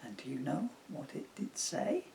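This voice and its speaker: Guy reading a kids rhyming book, takes his time, really expressive, slight background noise. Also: a little high, unhurried, gravelly, rough recording. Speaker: author reading own book. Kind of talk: expressive children's storybook narration in rhyme.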